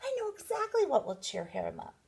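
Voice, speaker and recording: A woman voicing eager, wistful young schoolchildren from a storybook in a lilting, expressive way. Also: sits high, brisk, vocal fry, pitched above the performer's own voice, full character voice.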